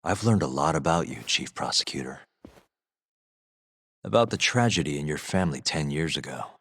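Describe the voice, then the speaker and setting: A man playing a cool, determined lawyer hero in a video game, speaking in a measured, understated, dry way. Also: very deep voice, animated, fairly bright tone, husky, dry recording, exaggerated voice. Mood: accusing. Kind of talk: English video game cutscene acting.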